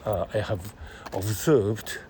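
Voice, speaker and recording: A man with a Japanese accent thinking aloud in a halting monologue while walking city streets, speaking into a phone, full of fillers and restarts. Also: lowish voice, dark tone, a little hiss or hum. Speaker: podcast host. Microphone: phone held close.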